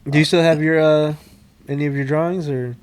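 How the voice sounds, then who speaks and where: A man's voice: fairly high pitch, steady tone, brisk, a little hiss or hum. A man co-hosting a casual podcast, laid-back and encouraging, often repeating his phrases. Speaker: podcast co-host. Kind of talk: casual chat.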